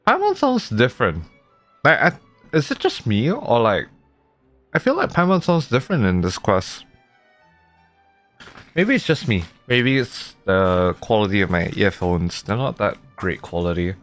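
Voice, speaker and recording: A man's voice: on the low side, big pitch swings, a little slow, a bit bright, faint music behind. A man streaming a game with casual, reactive, rambling commentary. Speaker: gaming streamer.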